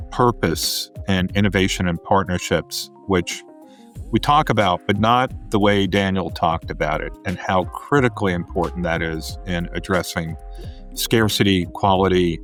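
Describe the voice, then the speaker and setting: A middle-aged man hosting a podcast interview, chatting casually with plenty of fillers and restarts. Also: sits low. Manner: relaxed, rambling, many fillers. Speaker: podcast host.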